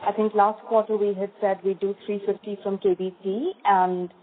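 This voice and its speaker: A woman's voice: mid-range voice, level tone, moderate pace. Woman on a thin phone line. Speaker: analyst caller. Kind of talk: direct questioning on a conference call.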